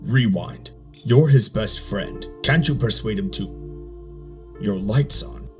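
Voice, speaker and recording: A stern, controlling robot strategist, played by a man for a motion comic. He is deep-voiced, clipped and humorless, with a reproachful edge. Loud music plays behind him. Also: takes it slowly. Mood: stern, disappointed.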